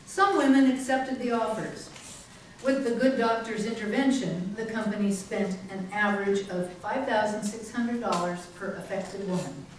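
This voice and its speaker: A woman's voice: mid-range voice, lightly acted. A woman playing an indignant investigator, giving pointed, emphatic documentary narration in a readers theater. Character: indignant female investigator exposing a company's wrongs. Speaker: community theatre actor. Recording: very echoey room, distant mic, faint noise.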